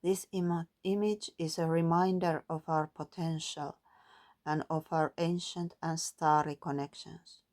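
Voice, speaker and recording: A woman doing a calm, musing spiritual card reading, partly reading guidebook text aloud. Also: low-pitched, measured pace. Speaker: tarot and oracle card reader.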